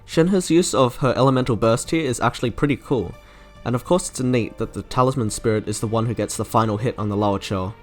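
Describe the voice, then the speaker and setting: A young man you would hear narrating a gaming ranking video, medium-pitched, with faint music underneath. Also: fairly quick. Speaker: video essayist reviewing game trailers. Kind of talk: scripted narration, opinion commentary. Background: soft game music.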